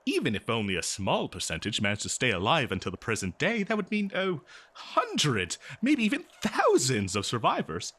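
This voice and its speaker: A man's voice: medium pitch, huge pitch swings, normal speed, a bit bright, husky, full character voice. A man playing an eager, kindly archaeologist in a fantasy audio roleplay, speaking in a hesitant, stammering, scholarly way straight to the listener. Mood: hopeful, excited. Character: eager, kindly male archaeologist befriending a lonely monster. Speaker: audio drama performer. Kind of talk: scripted fantasy audio roleplay, one-sided dialogue to listener.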